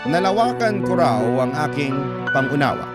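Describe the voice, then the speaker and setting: A man playing a grown child recounting sad family memories, in a measured, reflective radio narration with a Filipino accent. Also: a little high, very animated. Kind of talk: dramatic letter narration. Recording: prominent keyboard music behind.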